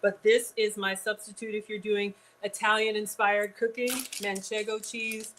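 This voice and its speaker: A woman's voice: medium pitch, little pitch movement, normal speed, fairly bright tone, a little hiss or hum, low-quality recording. A woman hosting a live-streamed cooking class in a home kitchen, chatting straight to her viewers with casual asides. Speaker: cooking show host.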